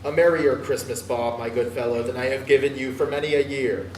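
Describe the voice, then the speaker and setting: A man reading aloud and voicing an elderly reformed miser who is giddy and boisterous, with booming exclamations. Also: steady tone, brisk, darkish tone, reverberant, far from the mic, slight background noise, natural voice, low-quality recording. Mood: jovial. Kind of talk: dramatic reading of a classic tale.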